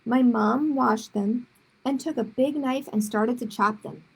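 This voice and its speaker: A woman's voice: a little high, unhurried, slightly rough, rough recording. A woman reading a children's story aloud expressively, with short explanatory asides to young listeners.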